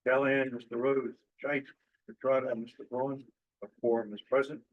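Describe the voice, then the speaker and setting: Man chairing a meeting, brisk and procedural. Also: medium pitch, level tone, narrow, tinny audio. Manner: brisk, procedural, formulaic motions. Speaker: board chair.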